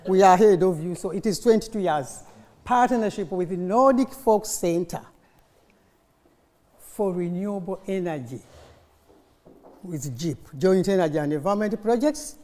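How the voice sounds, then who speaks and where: Mature female conference speaker, Ugandan accent, native Luganda, unhurried delivery, animated storytelling in a reverberant hall. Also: mid-range voice. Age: middle-aged or older. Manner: animated storytelling, prompting listeners with questions. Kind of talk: informal conference speech with audience call-and-response. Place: conference hall, live talk to an audience. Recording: echoey hall, long reverb.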